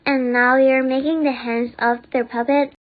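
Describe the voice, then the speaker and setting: A woman's voice: sits high, flat delivery, moderate pace. A young woman, a student explaining craft steps one by one. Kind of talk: step-by-step explanation. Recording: thin phone-like sound, chatter and hiss.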